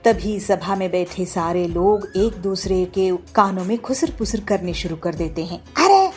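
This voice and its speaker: A woman's voice: fairly low pitch, fairly even intonation, measured pace, mellow, soft background music. A woman reading scripted narration for children's moral stories as the storyteller.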